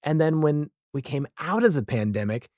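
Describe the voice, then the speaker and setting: A man's voice: a little high, animated, moderate pace, a little mellow, no room sound, clean studio sound. A man speaking casually and reflectively as a guest in a conversational podcast interview.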